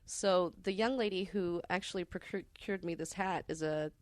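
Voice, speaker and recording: Casting: woman, lowish voice, podcast guest. Casual but deliberate, halting storyteller with formal phrasing, recorded dry. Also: darkish tone, dry recording. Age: adult parent. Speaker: podcast guest telling personal stories.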